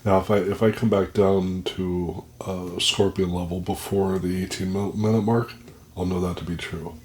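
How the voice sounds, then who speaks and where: A man on a home vlog who talks haltingly, describing the heat of the pepper he has eaten, his nose running and blocked. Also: sits low, little pitch movement, darkish tone, a little hiss or hum. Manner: halting, describing the burn as it builds. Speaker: pepper reviewer. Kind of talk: casual vlog commentary. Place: indoors at home. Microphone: plugged-in microphone.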